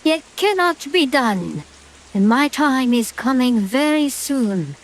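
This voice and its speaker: A woman playing a sardonic, melodramatic witch in a horror-comedy radio play, speaking in a theatrical, drawn-out way. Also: high voice, very animated, full character voice. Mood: grave, resigned.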